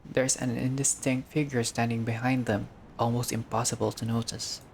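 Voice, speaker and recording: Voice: medium pitch, little pitch movement, normal speed, vocal fry, a little mellow, slight background noise. A gamer reading a visual novel aloud in a casual let's-play style, breaking off into joking, sweary asides.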